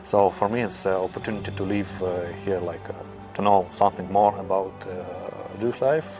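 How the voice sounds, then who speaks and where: A young man with a Russian accent answering interview questions in halting, searching English with many pauses. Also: low voice, steady tone, over music. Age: young adult. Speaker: interviewee, new immigrant.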